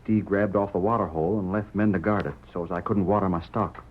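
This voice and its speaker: Radio western husband male actor: deep, husky, drawling rancher, self-doubting and folksy, thin vintage sound. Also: steady tone, slight background noise, lightly acted. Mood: worried, defeated. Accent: American Western drawl. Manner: halting, folksy, defeated. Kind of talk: old-time radio western acting. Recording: narrow tinny old radio recording.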